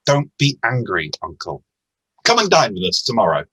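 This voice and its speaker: A man playing a good-hearted, forgiving nephew in an amateur radio play, earnest and pleading, with a husky voice. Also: fairly low pitch, wildly swooping pitch, moderate pace, fairly bright tone, no room sound, heavily acted, low-quality recording. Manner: earnest, pleading, hesitant starts.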